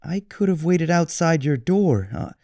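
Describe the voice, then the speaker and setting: A man's voice: on the high side, animated, unhurried, mellow, dry recording, studio quality, voice pushed up. A man voicing a polite, impulsive and sheepish little girl in a children's storybook.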